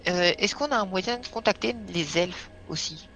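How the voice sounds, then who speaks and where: A man's voice: high-pitched, normal speed, pushed and bright, a little hiss or hum. A man playing a tabletop role-playing game, planning moves aloud with many fillers. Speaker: player. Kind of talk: casual chat planning a game session.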